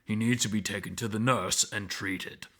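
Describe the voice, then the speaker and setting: A man voices a protective wolverine from a visual novel. The voice is low and tense. He keeps a measured manner, gruff anger held tightly in check. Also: steady tone, a bit bright, lightly acted. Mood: tense restraint. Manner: measured, restrained.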